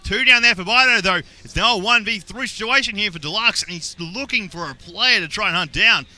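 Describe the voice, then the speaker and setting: A young adult man with an Australian accent doing play-by-play esports commentary, getting excited on big plays. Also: high-pitched, a little fast. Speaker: esports caster.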